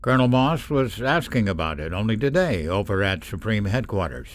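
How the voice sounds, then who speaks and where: A man's voice: fairly low pitch, very animated, fairly quick. An actor playing a cautious, protective middle-aged father who hesitates and admonishes, in an old-time radio drama. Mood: soothing, probing.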